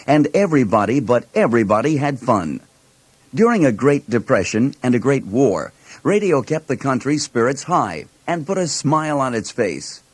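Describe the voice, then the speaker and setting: A man's voice: medium pitch, no echo. Male narrator, scripted documentary read, dry studio sound.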